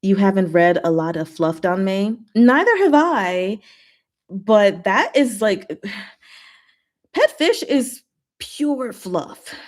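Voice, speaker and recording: Slow-paced, giggly woman chatting casually. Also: medium pitch. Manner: giggly, animated asides with emphatic one-word verdicts. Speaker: host of a chat and Q&A stream. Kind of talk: casual chat reading and answering viewer comments.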